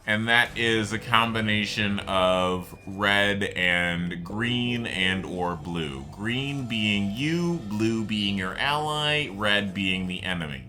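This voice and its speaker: Male gameplay host, very unhurried delivery with a crisp bright edge, talking through strategy off the cuff. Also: on the low side, expressive, soft background music. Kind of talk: unscripted game commentary.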